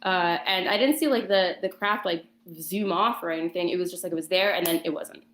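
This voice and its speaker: A woman with a fairly low voice tells her story casually, like a guest in conversation. Her phrasing is somewhat halting and hesitant. The sound is noticeably roomy, with clear echo around her voice. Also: a bit bright. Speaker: guest telling a personal account. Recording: roomy, echoing voice chat.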